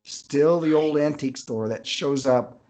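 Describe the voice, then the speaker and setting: Higher-voiced man in casual conversation. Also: unhurried, a little mellow, faint music behind. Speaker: author, interview guest.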